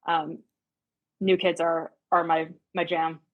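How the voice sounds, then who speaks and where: A woman speaks casually as a real estate agent being interviewed. Her voice sits a little low. She is chatty and emphatic, often repeating a word to drive it home. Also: level tone, slow, phone-quality sound. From United States and Germany.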